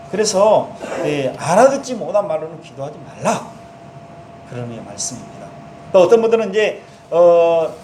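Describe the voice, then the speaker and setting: Male pastor, Jeolla accent, fairly high voice, preaching casually in a reverberant sanctuary. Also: darkish tone, a little hiss or hum. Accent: Jeolla dialect. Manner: conversational preaching, acting out prayers in first person. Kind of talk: sermon.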